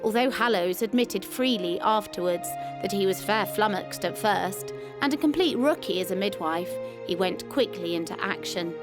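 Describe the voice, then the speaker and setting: A woman's voice: mid-range voice, normal speed, hardly any pauses. A woman reading a scripted first-person wartime memoir as a narrator. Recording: music behind the voice. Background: music.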